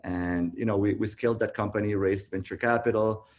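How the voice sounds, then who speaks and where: A man in his thirties from Costa Rica, telling his story in a relaxed way with many fillers on a video call. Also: on the low side, fairly quick. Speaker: guest, tech founder. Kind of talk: casual virtual interview.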